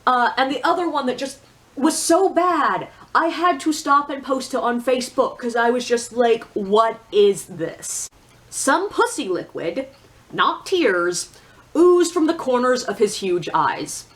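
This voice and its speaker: A woman's voice: high-pitched, expressive, unhurried. A woman giving a casual, opinionated book review in a blunt, sardonic, conversational way with emphatic asides.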